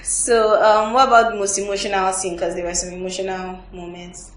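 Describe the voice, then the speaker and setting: A woman's voice: medium pitch, little pitch movement, a little fast. A woman hosting a casual panel review and sharing her own opinions in conversation. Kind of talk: casual film review discussion. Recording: echoey room, distant mic, faint noise.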